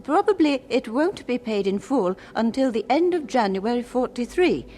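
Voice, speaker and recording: Devout widow, high expressive voice. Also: female voice, moderate pace. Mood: apologetic, resolute. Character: devout earnest widow writing letters, gentle and scrupulous. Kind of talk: old radio drama acting.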